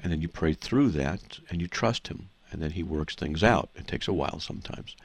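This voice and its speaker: A man's voice: extremely low pitch, expressive, a little fast, slightly rough. A man hosting a call-in radio show, explaining scripture to callers in an assertive, teaching manner. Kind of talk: call-in radio Bible answers.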